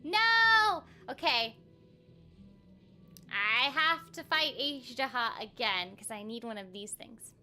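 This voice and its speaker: A woman's voice: on the high side, expressive, slow, bright, forceful tone. A woman hosting a casual game livestream, chatting playfully with her viewers as she plays. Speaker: game livestream host, voice actor. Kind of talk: casual livestream chat while playing a game. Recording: game music behind the voice. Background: video game music.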